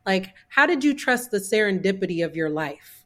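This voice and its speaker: A woman's voice: mid-range voice, very animated, moderate pace, a bit bright. Woman host asking long rambly questions, eager, says like a lot. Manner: eager, searching phrasing with many "like"s. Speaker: interviewer. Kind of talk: casual interview questions.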